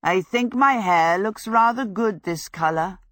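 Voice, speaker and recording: A woman's voice: medium pitch, unhurried, dry recording, voice pushed up, close to an everyday voice. A woman voicing a vain, dashing young male wizard with an airy, self-satisfied manner, in a storybook reading.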